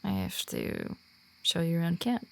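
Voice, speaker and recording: A woman playing a shy, earnest werewolf who guides a newcomer in a roleplay audio, speaking softly and haltingly. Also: lowish voice, sing-song, creaky, heavily acted. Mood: helpful, matter-of-fact. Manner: hesitant, halting, awkward when personal. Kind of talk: roleplay audio drama, softly spoken to the listener.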